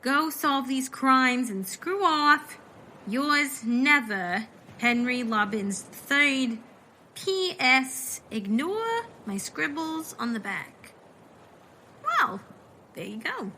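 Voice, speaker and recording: A woman playing a chatty, blunt gal in a comic audio mystery, with deadpan asides. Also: high-pitched, takes it slowly, slight background noise, exaggerated voice, rough recording. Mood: reading aloud, unimpressed. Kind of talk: comic audio drama acting.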